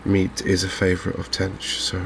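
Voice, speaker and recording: Softly spoken English male game host, flat and hushed delivery, gravelly fry, faint background noise. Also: low-pitched. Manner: gentle, unhurried, hushed. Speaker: gaming video host. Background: fishing game ambience.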